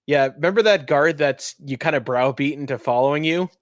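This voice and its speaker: Higher-voiced man running a tabletop game. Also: a little fast, no echo, clean studio sound. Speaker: game master and podcast host. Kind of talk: casual tabletop game narration.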